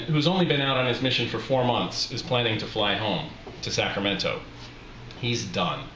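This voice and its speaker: A middle-aged man gives a live reading of his own memoir in a wry, deliberate way. His mid-pitched voice rings in a roomy bar, with faint noise behind it. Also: fairly bright tone. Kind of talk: live literary reading of a humorous personal story. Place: small bar with brick walls, live reading.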